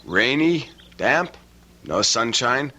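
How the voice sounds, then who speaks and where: Animated, bright-voiced young American husband. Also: male voice, mid-range voice, takes it slowly, exaggerated voice. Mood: teasing. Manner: wry, teasing. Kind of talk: radio drama acting. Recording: old radio broadcast with faint hiss.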